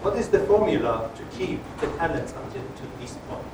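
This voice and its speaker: Audience guy, fairly high voice, halting, far off in an echoey noisy hall. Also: very animated. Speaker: audience member. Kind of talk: asking a question from the floor. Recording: distant echoey hall with room noise.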